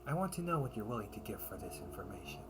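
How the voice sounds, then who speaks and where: A man playing a smooth, scheming older brother who brokers information for a crime syndicate, teasing and theatrical in an audio roleplay. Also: on the low side, huge pitch swings, heavy background noise. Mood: sly, challenging. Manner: silky, teasing, unhurried, theatrical.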